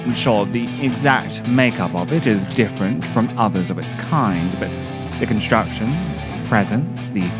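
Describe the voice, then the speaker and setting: A man reading scripted narration for a video essay in a theatrical, deliberate, literary manner. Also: fairly low pitch, expressive, over loud music. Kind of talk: scripted narration, dramatic horror-tinged monologue and video essay.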